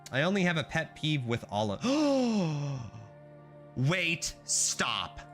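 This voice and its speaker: A young man streaming himself coding, chatting casually with viewers, swearing and getting excited as he works. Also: fairly high pitch, takes it slowly. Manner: excitable, swearing, talks to chat while coding. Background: faint music.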